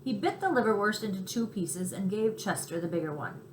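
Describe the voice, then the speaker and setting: A woman reading a children's story aloud as narrator, with expressive scripted delivery. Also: medium pitch, brisk. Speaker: story reader. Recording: roomy echo, faint background noise.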